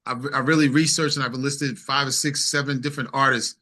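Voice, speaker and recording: A man's voice: mid-range voice, steady tone, pushed and bright. A man from New York speaking casually as an interview guest, thinking aloud with repeated words. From New York, United States. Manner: halting, thinking aloud with repetitions. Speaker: singer-songwriter interview guest.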